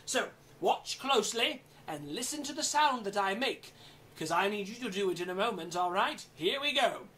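A performer playing an eager, flustered, bumbling storyteller in an interactive children's show, with an excitable, stammering, coaxing manner. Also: mid-range voice, normal speed, recorded from a distance, a little hiss or hum. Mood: encouraging, playful. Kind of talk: theatrical children's storytelling with audience participation.

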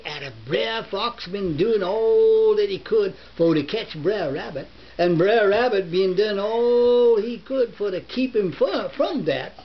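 An older man in his seventies reads a folk tale in a put-on American Southern dialect, halting now and then like a grandfather at story time. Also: high-pitched. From northern United States. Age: older, 70s. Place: book-lined living room. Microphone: camera a short distance away. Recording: muffled home camera recording with hiss.

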